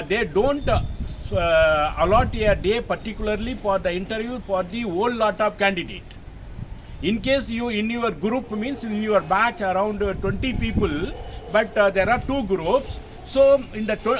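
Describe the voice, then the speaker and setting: A man's voice: sits high, low-quality recording. An older man with a Tamil Indian English accent, a native Tamil speaker, giving emphatic informal guidance to camera as a retired officer, often repeating his phrases. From Tamil Nadu, India. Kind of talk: informal instructional talk to camera. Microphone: mobile phone camera. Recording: phone recording with background noise.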